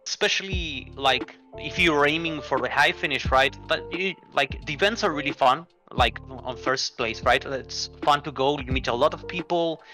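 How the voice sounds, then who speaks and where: A man's voice: on the high side, moderate pace, few pauses, bright, forceful tone, soft background music, low-quality recording. A man from Argentina, a card game player guesting in an interview, talking easily with frequent restarts and fillers. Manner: easygoing, restarts often, many likes and rights. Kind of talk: casual chat.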